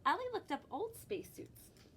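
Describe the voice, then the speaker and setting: A woman librarian reads a picture book aloud to children expressively, pausing to explain things and prompt her young listeners. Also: very high-pitched, sing-song, fairly bright tone, a little hiss or hum.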